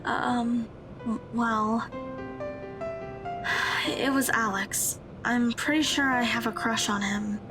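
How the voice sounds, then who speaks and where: A woman's voice: on the high side, steady tone, extremely slow, long pauses, music in the background. A woman playing an awkward, earnest teenage schoolgirl in an audio drama, speaking hesitantly with rather formal wording. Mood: embarrassed, confiding.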